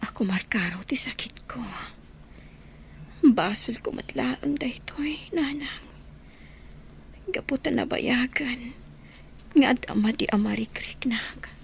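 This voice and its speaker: A woman playing a gravely ill young wife in a radio drama, speaking in a weak, breathy, sorrowful whisper. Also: on the high side, slow pace, long pauses, slight background noise. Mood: grateful, sorrowful. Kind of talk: radio drama acting in Ilocano.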